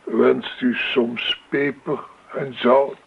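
An actor playing a prim, fussy waiter with a gravelly voice and stiffly formal manner in a radio drama. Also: male voice, mid-range voice, very slow, exaggerated voice. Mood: stiffly polite. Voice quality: gravelly, husky. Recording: thin, phone-like old radio recording.

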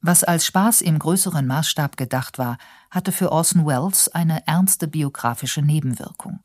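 A woman's voice: sits low, moderate pace, darkish tone, no room sound, clean studio sound. A woman reading scripted narration for a radio documentary.

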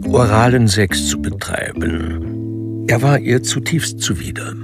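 A man narrating a crime radio drama in a measured, dry, literary manner, detached and wry. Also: mid-range voice, lively intonation. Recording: music under studio narration. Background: music.

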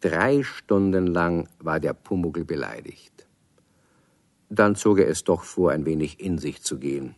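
Storyteller, low-pitched, wry, measured, scripted children's radio-play narration, dry acoustic. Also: male voice, animated, a little mellow, dry recording. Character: wry, unhurried male storyteller.

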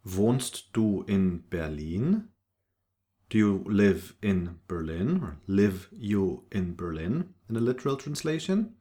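A man's voice: lowish voice, big pitch swings, slow pace, dark tone. A man teaching a language lesson from a script, reading short example sentences and their translations in an instructional way.

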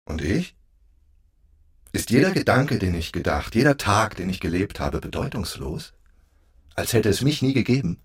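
An actor playing an eerie, accusing forgotten man in an audio drama, measured, brooding and menacing. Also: fairly low pitch, very animated, slightly rough. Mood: wounded, accusing. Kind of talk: German audio drama acting.

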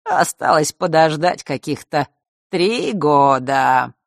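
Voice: medium pitch, slow, no room sound, studio quality. A reader playing a smug, venomous young man. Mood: taunting.

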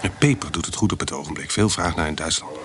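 An actor playing a sly, shabby swindler in a Dutch radio play, wry and persuasive with a slightly hesitant delivery. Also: male voice, extremely low pitch, fast. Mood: wry, scheming. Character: sly, shabby male swindler pitching a shady trade. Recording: noisy radio play recording.

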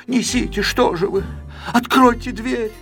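Man voicing a pleading, high-pitched little storybook boy. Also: unhurried, a bit bright, soft background music, exaggerated voice. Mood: weak, pleading. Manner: breathless, imploring, emotional. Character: frail, pleading little fairy-tale boy, overexcited and tearful.

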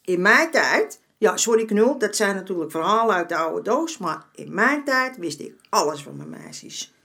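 A woman's voice: mid-range voice, sing-song, normal speed, sharp tone. An actress playing a streetwise former madam in her seventies in a radio drama, folksy and dismissive in manner. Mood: nostalgic, fond. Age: 70s. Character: streetwise 74-year-old former madam, motherly but brazen.

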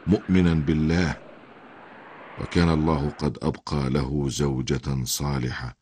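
An older man with a deep, husky voice narrating a religious cartoon story as a grandfather, solemn and formal. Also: darkish tone, slight background noise.

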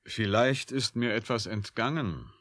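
An actor playing a provocative, sardonic scientist in a radio drama, teasing and needling with a defiant, flirtatious streak. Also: male voice, lowish voice, expressive, unhurried, fairly bright tone. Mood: teasing, probing. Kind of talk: 1980s German radio drama acting.

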